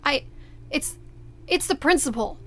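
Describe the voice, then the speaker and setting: An actress playing a grouchy, aggrieved, grudge-holding sister in an audio drama. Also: female voice, high voice, animated, a little slow, fairly bright tone, a little hiss or hum.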